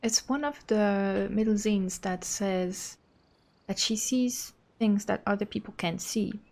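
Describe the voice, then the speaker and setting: A woman's voice: medium pitch, takes it slowly, creaky, a little mellow. A woman livestreamer giving casual commentary on a game, rambling informally as she thinks aloud. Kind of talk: casual commentary over gameplay.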